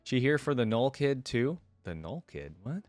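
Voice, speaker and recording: A man's voice: mid-range voice, very animated, normal speed, darkish tone, no echo, soft background music, pitched above the performer's own voice, close to an everyday voice. A man reading aloud the storybook lines of a hostile, scornful armored soldier. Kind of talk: storybook read-aloud dialogue.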